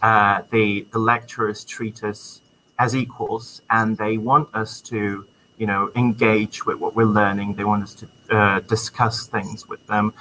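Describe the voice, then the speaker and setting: Bright-voiced Czech-accented young man. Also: lowish voice, slight background noise, rough recording. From Czech Republic. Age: young adult. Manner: friendly, enthusiastic, many ums. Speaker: student guest. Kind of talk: casual interview chat. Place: university computer lab.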